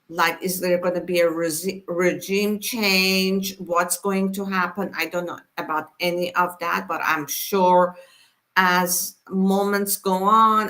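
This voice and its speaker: A woman's voice: fairly low pitch, pushed and bright. A woman born in Iran hosting her weekly inspirational live stream, talking to viewers casually with many fillers. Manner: unhurried, many fillers, speaks straight to viewers.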